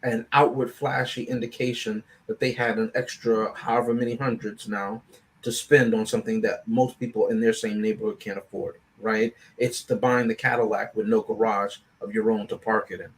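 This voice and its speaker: A man's voice: lowish voice, flat delivery, normal speed, a little hiss or hum, poor audio. A man speaking as a panelist on a film review show, in casual, analytical discussion, often checking in with "right?".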